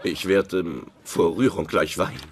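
An actor playing a stressed, sarcastic working-class husband in a dubbed sitcom, with a grumbling manner. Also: male voice, low voice, unhurried, background noise. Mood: sullen. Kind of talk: German-dubbed sitcom acting.

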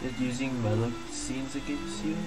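A woman's voice: a bass voice, steady tone, normal speed. A woman gamer giving casual reactions during a game playthrough. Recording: loud game music behind. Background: game music and dialogue.

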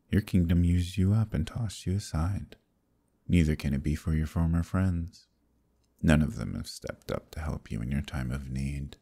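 A man voicing an arrogant, scheming Demon King who taunts and menaces in an ASMR roleplay, often dropping to a husky whisper. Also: very deep voice, mellow, exaggerated voice. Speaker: voice actor.

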